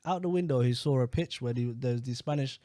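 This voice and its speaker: A man, co-hosting a casual podcast in a calm, matter-of-fact way. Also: medium pitch, brisk, no room sound, clean studio sound. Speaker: podcast co-host.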